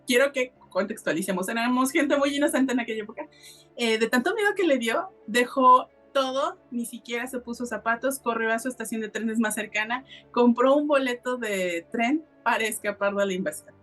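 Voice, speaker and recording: A woman's voice: on the high side, moderate pace, a bit bright, faint music behind. A woman with a Mexican accent hosting a podcast, telling a story in a casual, colloquial and explanatory way. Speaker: podcast host.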